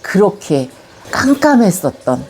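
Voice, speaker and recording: An older Korean woman with a slight Chungcheong accent, calmly telling her life story in an interview. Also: medium pitch, a little slow. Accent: Chungcheong-tinged Korean. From Korea, Yongin area of Gyeonggi. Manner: calm, reminiscing, gently animated when recalling childhood. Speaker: elderly interviewee recording a video autobiography.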